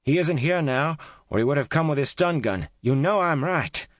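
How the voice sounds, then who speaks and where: A man voicing a brave, desperate young woman doctor held captive, shouting and pleading through a wall. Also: fairly high pitch, expressive, normal speed, no echo, clean studio sound, voice pushed up, natural voice.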